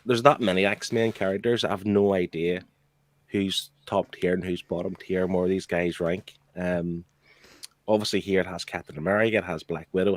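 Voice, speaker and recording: A man with a low voice chats casually as a show panelist, giving blunt opinions in plain conversational terms. The recording is dry and close, with little room sound. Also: no echo. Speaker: comic book show panelist.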